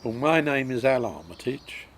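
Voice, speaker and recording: A man, recalling his life story in a halting way with many pauses and ums. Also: medium pitch, animated, slight background noise. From England. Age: 90s. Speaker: interviewee.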